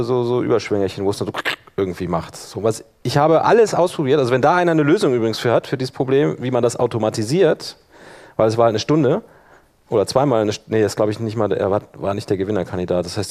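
A man's voice: medium pitch, a little mellow. A man presenting an informal live tutorial, conversational and often checking in with his listeners. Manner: loose, conversational, addresses listeners with questions. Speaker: workshop presenter.

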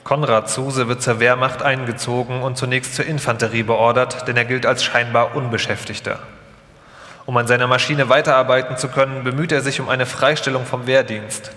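Male documentary narrator, forceful bright tone, live delivery in a reverberant hall. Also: medium pitch, a little hiss or hum. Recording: echoey hall with long reverb.

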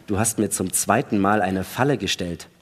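An actor as a husky, sarcastic astronaut, echoing hall. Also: male voice, lowish voice, normal speed, natural voice. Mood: sarcastic, accusing. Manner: argumentative, sarcastic, pointed. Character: wary, sarcastic male astronaut arguing with his crewmate. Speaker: actor in a radio play reading. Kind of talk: dramatic radio play acting in German. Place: large hall, live staged reading. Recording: reverberant stage hall sound.

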